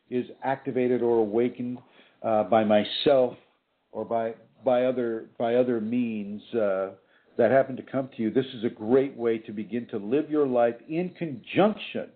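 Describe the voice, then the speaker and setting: Rambling man on a tinny phone line. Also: mid-range voice. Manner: rambling, repeats words and restarts often. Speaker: spiritual teacher hosting a call-in talk show. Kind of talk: casual spiritual talk.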